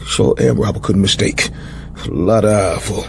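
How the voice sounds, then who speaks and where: An actor in a radio drama playing a jittery, defensive gangland lookout with an American accent. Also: male voice, fairly low pitch, normal speed, gravelly, a little hiss or hum. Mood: urgent.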